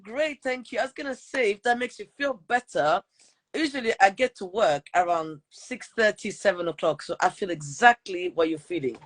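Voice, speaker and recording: A woman's voice: mid-range voice, normal speed. A woman from Portugal and Switzerland hosting a casual live interview, informal and given to repeating her words. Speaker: podcast host.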